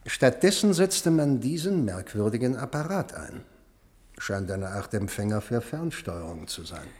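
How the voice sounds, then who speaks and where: An actor playing a fascinated, drily clinical doctor in a 1970s radio play, explaining deliberately and musing aloud. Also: male voice, medium pitch, very animated, a little mellow. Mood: matter-of-fact. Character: male doctor, clinical, fascinated, drily matter-of-fact about a body. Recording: long reverberant hall in radio play.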